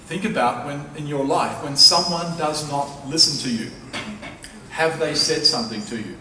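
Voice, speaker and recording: A man's voice: fairly high pitch, normal speed, pushed and bright. Echoey hall lecture by an emphatic man. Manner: emphatic, persuasive, repeats key phrases for stress. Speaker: professor, guest lecturer. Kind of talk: public lecture on communication. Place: large lecture hall at a university. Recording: echoey hall, distant mic, faint noise.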